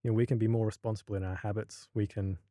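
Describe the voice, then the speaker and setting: An Australian man with a low, mellow voice talks through a casual podcast interview. He stumbles and restarts often while he gathers his thoughts. The recording is dry and close. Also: little pitch movement, fast, no echo. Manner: hesitant, many restarts and fillers. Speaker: university lecturer, podcast guest.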